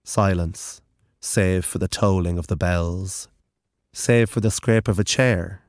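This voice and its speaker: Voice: sits low, takes it slowly, darkish tone, no echo. Dry, intense horror narrator. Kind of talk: dramatic scripted horror narration.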